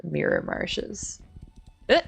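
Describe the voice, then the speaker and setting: A woman with an American accent hosts a gaming stream in a low voice. She takes her time, talking slowly in loose, slangy, informal chat with a giggly streak. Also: wildly swooping pitch, gravelly, slightly rough, faint music behind. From Michigan, United States. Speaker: game livestream host. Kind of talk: casual livestream chat while gaming.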